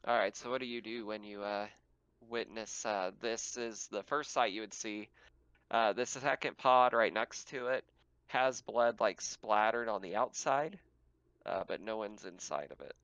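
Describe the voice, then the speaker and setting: A man's voice: lowish voice, measured pace, a bit bright, smooth, dry recording, low-quality recording. A man narrating a tabletop game casually as game master, given to easy laughter.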